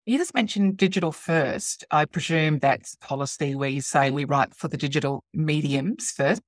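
Casting: middle-aged woman podcast host, low register, chatty, appreciative interviewer, recorded dry. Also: moderate pace, dry recording. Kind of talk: conversational interviewing.

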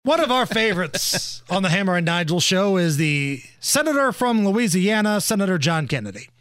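A man's voice: sits high, sing-song, normal speed, a bit bright, dry recording, clean studio sound. A man hosting a talk radio show with opinionated, emphatic commentary.

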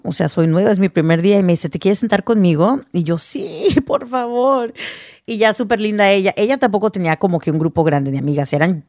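A woman's voice: on the low side, darkish tone, no echo, clean studio sound. A woman from the United States tells personal stories casually and candidly, mixing in English words. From United States (New Jersey, later Texas). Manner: candid, conversational, mixes English words into Spanish.

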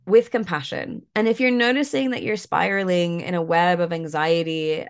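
A woman guest, a yoga and mindfulness coach, talking in a relaxed way with lots of fillers while stuffed up and a bit croaky. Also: fairly low pitch, normal speed. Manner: relaxed, many fillers like "like" and "you know". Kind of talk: casual interview talk, guiding an exercise.

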